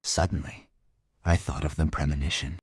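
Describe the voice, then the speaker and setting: A man playing a world-weary police detective, narrating a crime audio drama in a measured, musing way. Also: rumbling low, steady tone, slightly rough, no room sound, clean studio sound, full character voice. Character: world-weary male police detective telling his case.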